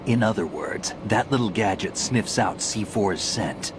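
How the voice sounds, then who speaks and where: An actor playing a seasoned, self-assured soldier in a video game, speaking in a dry, laconic, unhurried way. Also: male voice, lowish voice, lively intonation, noisy background. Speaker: navy lieutenant.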